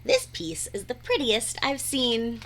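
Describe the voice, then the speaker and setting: A woman reading a rhyming children's picture book aloud in an expressive, deliberate, sing-song way. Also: on the high side, vocal fry, slight background noise. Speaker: read-aloud storyteller.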